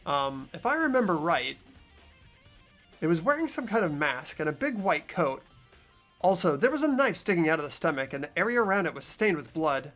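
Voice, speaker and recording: Man playing high, animated, inquisitive teenage boy. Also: normal speed, faint music behind. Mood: thoughtful. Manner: inquisitive, thinking aloud. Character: earnest, puzzled teenage boy investigating a murder. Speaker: amateur voice actor in a fan playthrough. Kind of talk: fan-dubbed visual novel acting and narration.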